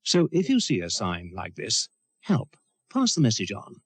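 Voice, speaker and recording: Husky, animated low-voiced male narrator. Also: moderate pace, no room sound. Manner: explanatory, earnest appeal. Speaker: public information film narrator. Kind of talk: scripted public information narration.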